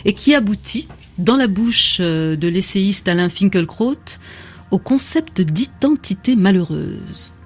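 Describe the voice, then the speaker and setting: A woman hosting a radio interview, asking measured, probing questions. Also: medium pitch, expressive, over music.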